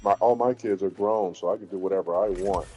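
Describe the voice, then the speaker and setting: A man in his fifties chatting over a headset while gaming, relaxed and reminiscing. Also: deep voice, brisk, slightly rough, rough recording. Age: 50s. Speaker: fellow player in voice chat. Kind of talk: casual gaming chat.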